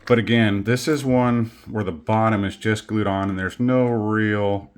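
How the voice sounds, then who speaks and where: A man casually showing his collection on camera at home, rambling and self-deprecating with many pauses and fillers. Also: on the low side, steady tone. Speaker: coin collecting vlogger. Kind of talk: casual show-and-tell. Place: home studio.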